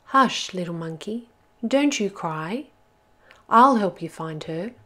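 A woman's voice: lowish voice, animated, slow, full character voice. A woman voicing an eager, helpful but muddled butterfly in a storybook, encouraging and exclamatory. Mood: soothing, helpful. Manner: bright-eyed, encouraging, exclamatory.

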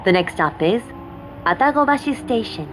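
A woman giving formal, deliberate scripted next-stop announcements on a subway. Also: on the high side, lively intonation. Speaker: English-language subway announcer. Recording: in-car speaker recording with train noise. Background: running subway train noise.

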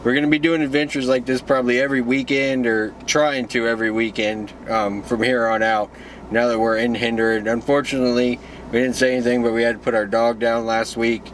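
A laid-back man in his thirties sums up a camping trip from the front seat of a car. His voice sits in a middle pitch and his delivery stays plain and easygoing. Faint car noise hums underneath. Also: brisk. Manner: relaxed, plain-spoken wrap-up. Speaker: outdoor vlog co-host. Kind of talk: casual vlog chat and outro. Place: inside a parked car. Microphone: dashboard camera facing the seats.

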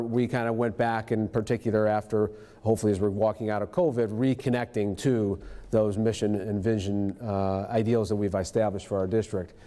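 A man's voice: lowish voice, dark tone. A middle-aged man, a school superintendent, presenting informally to a board meeting with many fillers, in a large library room.

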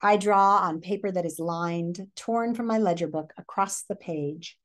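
A middle-aged woman with an American accent reads a picture book aloud, expressively, pausing to put questions to young listeners like a teacher. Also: fairly low pitch. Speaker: read-aloud presenter. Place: living room, indoors.

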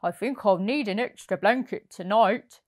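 A woman voicing a kindly, patient man from a storybook, in a gentle, homely manner. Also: medium pitch, normal speed, natural voice. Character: kindly, patient man living in park hut.

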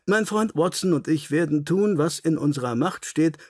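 A man voicing a keen, courteous, self-assured Victorian detective in an audiobook, formal, brisk and inquisitive. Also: a little high, animated, darkish tone, dry recording, studio quality. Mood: decisive.

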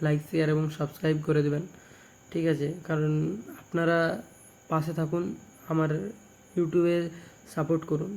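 Man, West Bengal Bengali accent, slightly high voice, monotone, repetitive short phrases, technician's casual video narration. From West Bengal, India. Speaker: speaker repair technician and video presenter. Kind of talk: casual product showcase narration.

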